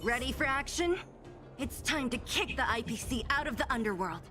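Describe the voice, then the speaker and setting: High-pitched determined young fighter. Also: female voice, lively intonation, normal speed. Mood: determined, ready. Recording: music behind the voice.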